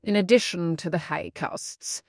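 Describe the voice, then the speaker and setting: A woman's voice: lowish voice, a little slow, creaky, no echo, clean studio sound. A woman reading scripted practice passages aloud as a model for an exam exercise.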